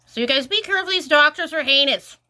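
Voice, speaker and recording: A woman's voice: sits high, animated, fast talker, pushed and bright. A sniffly woman rambling through an exasperated casual vlog rant, full of "like" fillers. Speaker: vlogger.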